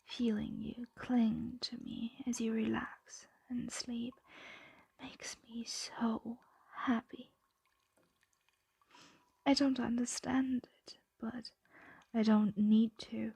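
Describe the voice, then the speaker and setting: A woman playing a possessive, doting dragon captor in an ASMR roleplay, whispering slowly and hypnotically close to the microphone. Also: a little high, little pitch movement, dry recording, exaggerated voice. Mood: tender, possessive. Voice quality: breathy whisper. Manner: slow, hushed, hypnotic coaxing. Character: possessive, doting female dragon captor soothing their pet.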